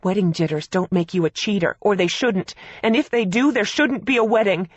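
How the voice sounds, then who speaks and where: A woman reading an audiobook voices an angry, protective older sister who scolds loudly in a raised, exasperated voice. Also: a little high, measured pace, no room sound, clean studio sound, pitched above the performer's own voice.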